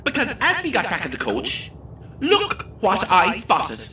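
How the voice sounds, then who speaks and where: A theatrical tour guide relishes every macabre detail in a horror comedy drama. The voice is bright and very animated, taunting and sardonic, with menacing pauses. It sounds roomy and a little distant. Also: male voice, high-pitched, a little hiss or hum, heavily acted. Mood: sardonic menace. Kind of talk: scripted audio horror comedy acting.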